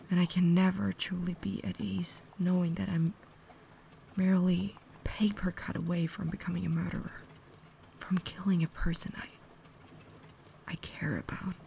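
Casting: woman to play refined, tormented vampire lady for ASMR roleplay; breathy whisper, gravelly fry, caring and formal. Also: fairly low pitch, warm tone, a little hiss or hum. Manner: formal, measured, earnest diction.

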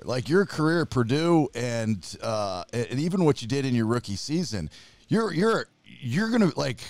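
A man's voice: medium pitch, darkish tone, no echo. A man in his forties hosting a podcast interview, enthusiastic and chatty, speaking into a studio mic in a home studio. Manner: enthusiastic, talks with his hands. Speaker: podcast host. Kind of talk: casual interview chat. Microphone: studio mic with headphones.

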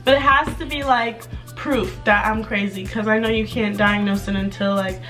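Slightly hoarse young woman vlogging. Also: medium pitch, steady tone, normal speed, a bit bright, faint music behind. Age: young adult. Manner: rambling, talks while straightening her hair. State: slightly hoarse from recent laryngitis. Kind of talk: casual chatty vlog update. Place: bedroom with clothes rack, indoors.

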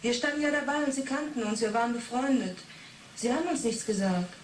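Woman playing young reporter being questioned, stubborn, earnest, highish voice, echoey old radio play tape. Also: a little fast, lightly acted. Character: defiant 28-year-old female reporter under questioning. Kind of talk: 1980s German radio drama acting. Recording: roomy, distant, hissy interrogation tape.

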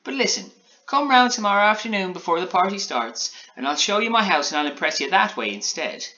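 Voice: medium pitch, lively intonation, a little fast, bright, forceful tone, dull audio, pitched above the performer's own voice. A storybook reader voicing a tiny, eager, boastful gingerbread man who is needy and showy. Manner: eager, needy, showy, sing-song bragging.

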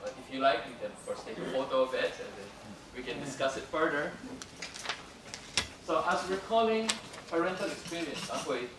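A man giving an academic lecture, halting and full of fillers, switching between English and Cantonese. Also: mid-range voice. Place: lecture room. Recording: echoey room, distant, noisy.

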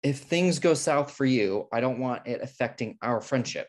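A man's voice: mid-range voice, lively intonation, normal speed, lightly acted. An American man playing a fussy, controlling twin brother in a sitcom table read. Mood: indignant. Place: indoor room, on a video call. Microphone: video call microphone.

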